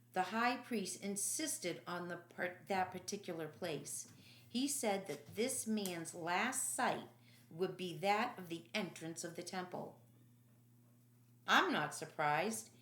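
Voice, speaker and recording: A woman voicing gruff, matter-of-fact male prison guards in an audiobook story. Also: mid-range voice, echoey room.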